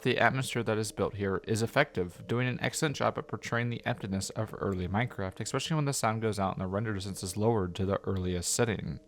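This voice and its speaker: A man with a fairly low voice narrates a scripted video essay. He works through his review point by point as an analytical commentator.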